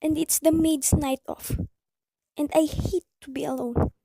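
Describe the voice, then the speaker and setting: A high-voiced, fretful invalid wife acted by a woman in a radio play. She is imperious and melodramatic, pressing her point with anxious indignation, recorded close and dry. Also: fairly even intonation, unhurried, vocal fry, mellow, no room sound, rough recording. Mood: fretful, insistent. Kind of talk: amateur radio play acting.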